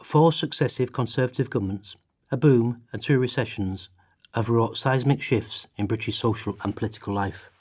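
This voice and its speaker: A man's voice: medium pitch, moderate pace, a little mellow, slightly rough. A man giving declamatory, emphatic readings of quoted prose for a radio play. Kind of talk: dramatic read quotations in a radio play.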